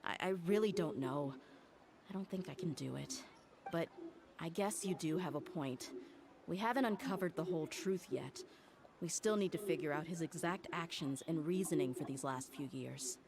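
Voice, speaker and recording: A woman's voice: low voice, moderate pace, a crowd in the background. An actress playing a tough, blunt, hot-tempered mercenary woman in a video game. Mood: resigned, doubtful.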